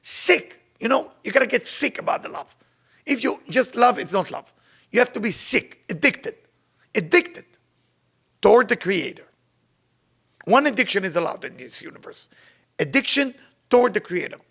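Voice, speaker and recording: A man's voice: sits high, big pitch swings, creaky, pushed and bright, slightly rough. A man with an Israeli accent giving an informal spiritual lesson, telling stories and repeating phrases for emphasis, racing through passages when he reads them aloud. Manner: rapid recitation when reading Hebrew text. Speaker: Kabbalah teacher. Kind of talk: informal spoken teaching with storytelling.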